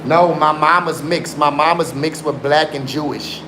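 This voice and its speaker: A man's voice: fairly high pitch, fairly even intonation, normal speed. A man around forty, raised in South Africa, chatting insistently with viewers on a live stream and swearing to his story. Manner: insistent, swears oaths, mixes in Afrikaans. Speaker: livestreamer. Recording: roomy sound with background noise.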